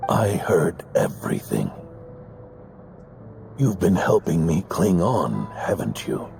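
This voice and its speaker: An actor playing a gentle, fatherly old knight near death, speaking softly and warmly in a game cutscene. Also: deep voice, sing-song, takes it slowly, gravelly, faint music behind, exaggerated voice. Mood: tender. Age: older.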